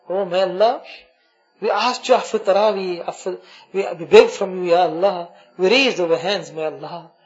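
A man's voice: high-pitched, a little mellow, highs cut off. Man pleading in a chanted supplication. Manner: pleading, repetitive invocations, shifting between chanted and spoken. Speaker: imam leading prayer. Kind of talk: emotional supplication after night prayers. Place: mosque during a night gathering.

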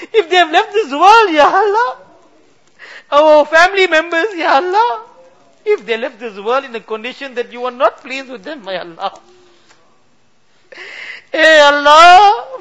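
A man leading an emotional supplication, weeping and pleading, his voice swinging between loud cries and hushed murmurs. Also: very high voice. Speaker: imam leading a dua.

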